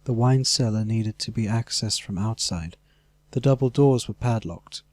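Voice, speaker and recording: A man's voice: lowish voice, moderate pace, no room sound. A man reading scripted fantasy narration as an audiobook narrator.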